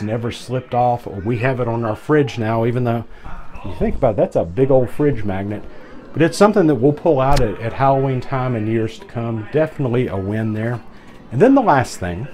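A man's voice: medium pitch, darkish tone. A middle-aged man giving a chatty, rambling product review as a travel vlogger, filmed at arm's length on a cruise ship balcony. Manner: chatty, rambling, with fillers and restarts. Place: atrium balcony of a large cruise ship, indoors. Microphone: camera held close at arm's length.

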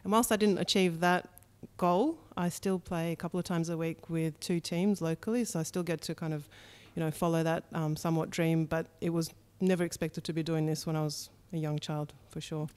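A woman, talking openly about her working life in a relaxed interview. Her voice is smooth and clear and sits a little low, and she speaks into a studio mic in a bright room. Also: a little fast, few pauses. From Russia. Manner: candid and chatty, with frequent ums. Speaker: startup co-founder, podcast guest. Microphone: studio mic on a boom arm.

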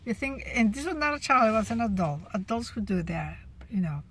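A woman's voice: a little high, sing-song, fairly quick, a bit bright, a little hiss or hum. A woman in her fifties chatting casually from a parked car about her job as a cashier, often restarting her sentences, filmed on a phone held at arm's length. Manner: chatty, restarts often, laughs at gross moments. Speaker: cashier sharing her workday. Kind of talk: casual car vlog chat. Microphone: phone camera at arm's length.

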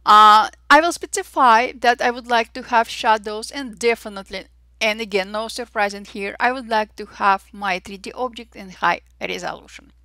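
A woman with an Armenian accent hosting a software tutorial, cheerful and chatty with frequent fillers and little exclamations. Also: mid-range voice, moderate pace, dry recording. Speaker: Photoshop tutorial host. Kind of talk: screen-recorded software tutorial.

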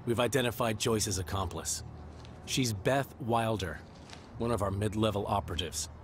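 Male actor as obedient guard, screen drama: lowish, formal, to the point. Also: moderate pace, slight background noise, close to an everyday voice. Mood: businesslike. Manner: clipped, formal, deferential. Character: obedient, businesslike male security guard reporting. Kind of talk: live-action screen drama acting.

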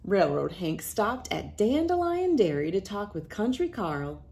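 A woman in her thirties reading a picture book aloud to children in a playful, expressive way. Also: medium pitch, measured pace, creaky. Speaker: children's read-aloud host.

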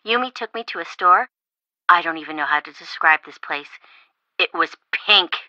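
A woman's voice: lowish voice, normal speed, no room sound, dull audio. An actress playing a bubbly, chatty, teasing young American woman, a shopping-loving best friend in a video game. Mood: breezy, enthusiastic. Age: young adult. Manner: expressive, teasing, casual.

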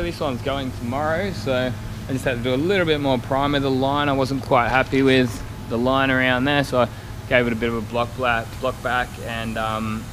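A man in his thirties giving a casual, rambling, blokey walkaround vlog as a car painter, recorded on a handheld camera in a large workshop. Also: mid-range voice. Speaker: car spray painter and YouTube vlogger. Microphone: action camera at arm's length. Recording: clear workshop noise, handheld action camera.

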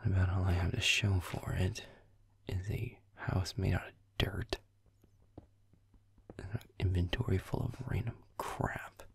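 A young man playing a grumpy, sardonic werewolf boyfriend in an intimate audio roleplay, muttering deadpan in a breathy, gravelly murmur as he games. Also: deep voice, measured pace, in a whisper, exaggerated voice.

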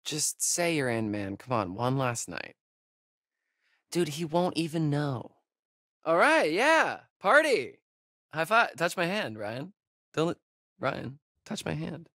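An actor playing a joking, sardonic young camp counselor in a video game, with an American accent and a laid-back, slangy way of talking. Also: male voice, a little high, big pitch swings, slow, pauses often, pushed and bright, no echo, clean studio sound, full character voice. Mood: coaxing, upbeat. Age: young adult. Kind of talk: naturalistic video game acting.

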